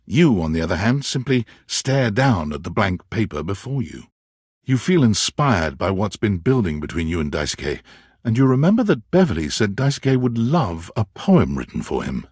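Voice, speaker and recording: A man playing a wry, dramatic storyteller who narrates a romance video game in measured second person. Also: lowish voice, big pitch swings, a little mellow, husky, no echo, clean studio sound.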